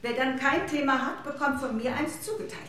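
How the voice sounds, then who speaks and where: A woman playing a patient, gently prodding schoolteacher who instructs her pupils in a children's radio play. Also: high voice, loud music behind the voice, lightly acted. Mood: patient, firm. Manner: measured, instructive, checking in with pupils. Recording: echoey, roomy classroom sound.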